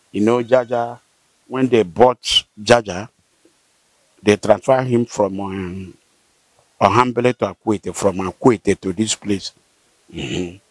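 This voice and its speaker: Low-voiced older Nigerian man, close and dry. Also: dry recording, rough recording. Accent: southern Nigerian. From Rivers State, southern Nigeria. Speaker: interviewee, local elder. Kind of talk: conversational oral history. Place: outdoors, seated by corrugated metal fence. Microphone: handheld interview mic held by host.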